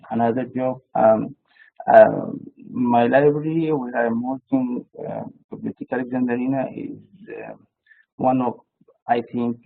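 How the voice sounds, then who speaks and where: Man from Egypt, halting with fillers, gravelly husky voice, thin phone-like webinar sound. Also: medium pitch. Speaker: cataloger and panelist. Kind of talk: hesitant webinar introduction.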